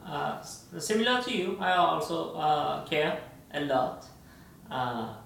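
A man co-hosts a casual podcast, with a gravelly, fry-laced voice. He talks hesitantly, with lots of fillers and restarts. He sounds distant from the mic, in a very echoey room with faint noise behind him. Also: fairly high pitch, animated, slow pace, fairly bright tone. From Iran. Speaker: podcast co-host.

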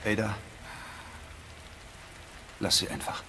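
An actor playing an earnest, idealistic young policeman in an audio drama, speaking in a calm and polite manner. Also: fairly low pitch, slow, noisy background. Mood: calming, careful. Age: young adult.

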